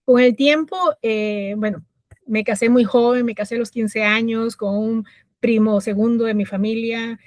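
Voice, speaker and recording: A middle-aged woman from Costa Rica with a Costa Rican accent, a guest telling her life story in a reflective way with many pauses and fillers. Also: on the high side, steady tone, darkish tone, no echo. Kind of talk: personal story interview.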